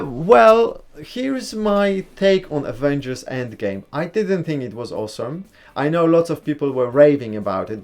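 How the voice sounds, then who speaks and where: A man from Poland, hosting a casual live stream, reading and answering viewers' comments in a relaxed, chatty way and giving blunt opinions. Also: mid-range voice. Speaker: livestream host.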